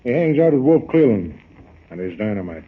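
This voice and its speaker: An actor playing a loyal, plain-spoken ship's captain with a folksy, gruff manner, in 1940s radio drama style. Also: male voice, on the low side, sing-song, brisk. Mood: plain, reluctant. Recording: muffled noisy 1940 radio recording.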